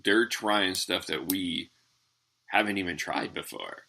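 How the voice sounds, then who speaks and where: Host: a man in his forties with a low, gravelly, husky voice, hesitant and hedging. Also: unhurried, pushed and bright. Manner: hesitant, restarts and hedges. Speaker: podcast host. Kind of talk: casual interview chat.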